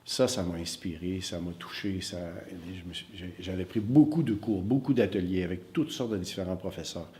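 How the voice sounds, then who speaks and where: A man's voice: deep voice, normal speed, hardly any pauses, a little mellow. A middle-aged man from Northern Ontario, an acting teacher giving reflective interview answers, hesitant and full of fillers and repeated words.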